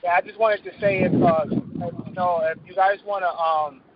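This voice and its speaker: A man speaking casually and haltingly as a participant on a group call. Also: high-pitched, fairly even intonation. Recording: muffled call with background noise.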